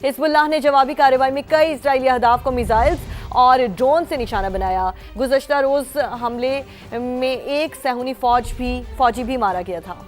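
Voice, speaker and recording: Female Pakistani TV news anchor, high register, emphatic scripted read over a light music bed. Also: moderate pace, hardly any pauses.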